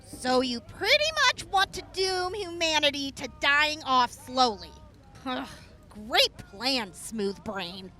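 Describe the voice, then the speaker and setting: A woman's voice: high voice, expressive, takes it slowly, bright, forceful tone, exaggerated voice. A woman playing a brash, sarcastic young wastelander in an audio drama, scornful and drawling.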